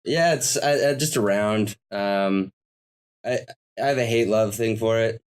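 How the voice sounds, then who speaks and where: A man's voice: fairly low pitch, a little slow, clean tone. A man co-hosting a casual podcast chat, making short offhand remarks.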